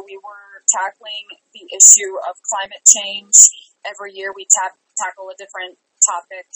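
A woman speaking conversationally as an interview guest about her own work, in an earnest, halting way with trailing pauses. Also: mid-range voice, bright, forceful tone, low-quality recording. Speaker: guest, theater company artistic director.

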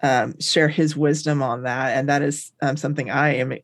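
A man's voice: mid-range voice, fairly even intonation, moderate pace. A man co-hosting a podcast, chatting in an easygoing, thoughtful way. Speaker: podcast co-host. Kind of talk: casual chat.

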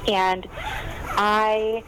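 A woman's voice: mid-range voice, level tone, drawn-out words, background noise. A woman novelist chatting casually in an interview, rambling through stories with lots of fillers and asides. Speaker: novelist guest.

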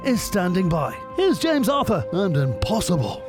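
An actor playing a brash, cheesy local-radio breakfast presenter with an Irish accent and showy, flippant patter. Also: male voice, sits high, wildly swooping pitch, moderate pace, music in the background. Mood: upbeat, showy. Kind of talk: comic radio play, radio DJ patter.

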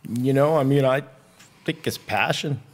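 A man answering interview questions casually, relaxed and filling his talk with "you know" and "I mean". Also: mid-range voice, a little slow. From Santa Cruz, California. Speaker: jiu-jitsu instructor and gym owner.

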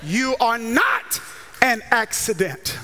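An American man preaching a sermon with an emphatic, repetitive, call-and-response cadence, often rising into shouts. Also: very high-pitched, huge pitch swings, takes it slowly. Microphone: handheld or stage microphone. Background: music under the voice.

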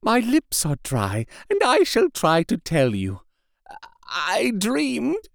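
A man's voice: high voice, wildly swooping pitch, slow, creaky, no echo, studio quality, pitched above the performer's own voice, full character voice. A man doing Renfield, a breathless dying patient. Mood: faint. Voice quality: strained, breathless and pleading. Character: dying male asylum patient, weak, desperate, gasping. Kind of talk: audiobook character reading.

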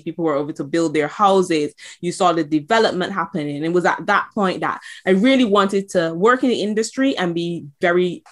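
A woman with a Barbadian accent, a guest in an interview, telling her career story at an easy pace with fillers. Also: fairly low pitch. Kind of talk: conversational interview.

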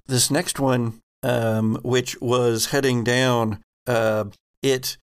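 A man acting as game master, narrating a tabletop roleplaying game with halting, improvised descriptions. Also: mid-range voice, flat delivery, clean tone.

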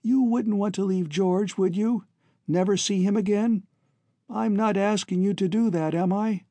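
A man's voice: high voice, steady tone, unhurried, mellow, no room sound, voice pushed up. A man voicing an earnest, devoted young man in an emotional, pleading audiobook dialogue. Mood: tender, reassuring. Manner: choked, emotional, pleading delivery. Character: earnest, devoted young man bidding his family farewell.

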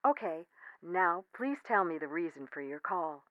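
A woman playing a cable company's automated phone menu in an audio comedy, speaking in measured, chirpy, formulaic customer-service prompts over a phone line. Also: fairly low pitch, lively intonation, a bit bright, dry recording. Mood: polite, unruffled. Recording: band-limited telephone line sound.

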